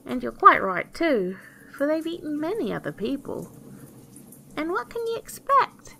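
Woman putting on a mocking high-pitched little dwarf man. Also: wildly swooping pitch, measured pace, gravelly, sharp tone, slight background noise, exaggerated voice, poor audio. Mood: sly, mocking. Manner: mocking, haughty, exclamatory. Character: tiny ugly yellow dwarf man, sly and disdainful. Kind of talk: theatrical fairy-tale storybook voice.

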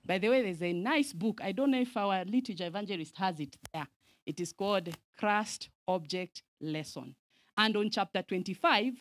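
A woman from Zambia in her thirties giving an animated teaching at a camp meeting, mixing Swahili phrases into her English and calling for audience responses, speaking into a handheld microphone in a large outdoor tent. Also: medium pitch, dry recording. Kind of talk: lively sermon-style teaching.

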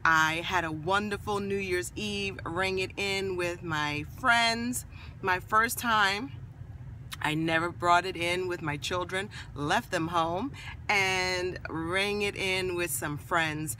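A woman in her forties with a hoarse, raspy voice from a night of laughing, chatting upbeat to a phone camera held at arm's length in a car and an office. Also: fairly low pitch, bright, forceful tone, a little hiss or hum. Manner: chatty and upbeat, drawn-out phrasing. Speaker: vlogger. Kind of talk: casual vlog to camera. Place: parked car, then an office.

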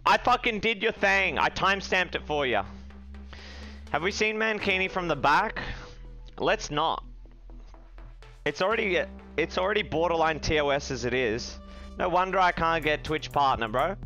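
Man, young, card game streamer, lively pitch, bright edgy voice, foul-mouthed, faint music behind. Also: moderate pace, long pauses. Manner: profane, excitable, shouting when upset. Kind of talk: live game stream commentary. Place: small room indoors.

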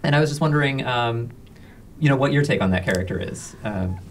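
Animated but halting man interviewing. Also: medium pitch. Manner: halting, hesitant questioning. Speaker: co-host interviewer. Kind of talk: casual panel interviewing. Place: small indoor studio. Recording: slightly distant mic, faint room noise.

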